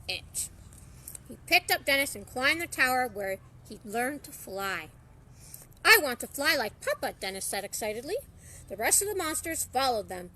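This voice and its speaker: Animated high-pitched woman reading a story. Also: low-quality recording. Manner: expressive, theatrical, acts out dialogue. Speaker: storyteller reading a children's book aloud.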